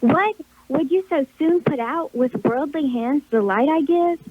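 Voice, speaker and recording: A woman playing a solemn, commanding spirit in a radio play, speaking in measured archaic phrases through a telephone effect. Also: high voice, no room sound. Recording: thin, band-limited phone-line sound.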